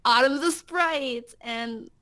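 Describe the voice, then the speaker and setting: A woman co-hosts an unscripted chat at a slow, unhurried pace. Her voice is fairly high. She is playful and quick to put herself down. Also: sharp tone. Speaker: co-host, voice actor.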